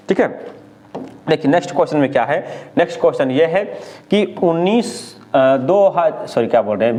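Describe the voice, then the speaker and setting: Male exam tutor, slightly high voice, drills questions and answers with emphatic repetition. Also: a little mellow. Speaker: teacher presenting exam questions.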